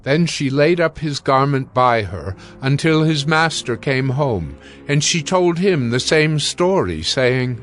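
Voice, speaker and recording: A man narrating scripture from a script in a solemn, measured manner. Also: medium pitch, expressive, a bit bright, faint music behind.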